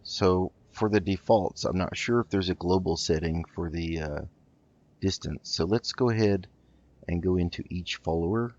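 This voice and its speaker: Low-voiced man, slightly muffled gameplay commentary. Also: moderate pace, a little mellow. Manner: hesitant, thinking aloud. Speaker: host of a video game roleplay let's play.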